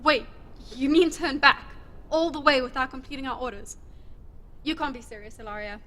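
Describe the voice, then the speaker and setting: A woman's voice: high-pitched, moderate pace, pushed and bright. A woman playing a cocky, reckless wasteland survivor in an audio drama, breezy, teasing and nonchalant. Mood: insistent.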